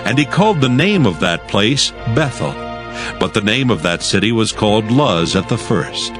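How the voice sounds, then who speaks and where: Low, animated male scripture narrator. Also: normal speed. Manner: formal storytelling. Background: music.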